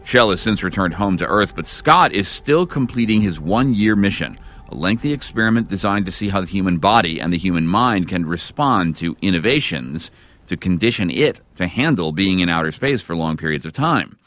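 A man's voice: deep voice, animated, normal speed, a bit bright. An American man hosting a radio show with scripted narration in a studio. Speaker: radio host.

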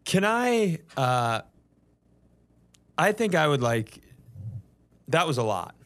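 Male comedy co-host, lively swooping delivery, fairly high voice, teasing banter with mock-courtroom insistence. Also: takes it slowly. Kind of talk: bantering casual chat.

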